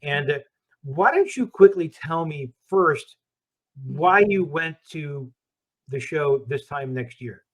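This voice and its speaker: A middle-aged man leads an interview with an upbeat, chatty manner, his voice sitting a little higher than most men's. He asks his questions plainly and keeps the conversation moving. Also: animated, slow pace, rough recording. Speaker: host, founder of a search service.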